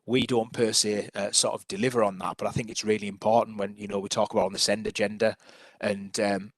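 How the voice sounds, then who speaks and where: A man's voice: lowish voice, little pitch movement, fast, no echo. A man presenting public health work to a council panel over a video call, hesitant, with many restarts.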